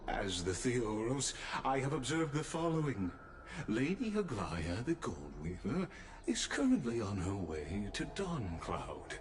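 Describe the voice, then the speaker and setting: A man voicing a composed, courtly official observer in a video game, formal, polite and deliberate. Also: mid-range voice, huge pitch swings, a little hiss or hum.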